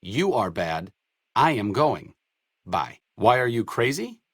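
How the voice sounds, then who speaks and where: An actor playing a sardonic, principled doctor and researcher in a post-apocalyptic video game, speaking in a dry, articulate, wry way. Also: male voice, medium pitch, very animated, slow pace, a bit bright, slightly rough, no echo, exaggerated voice. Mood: scornful.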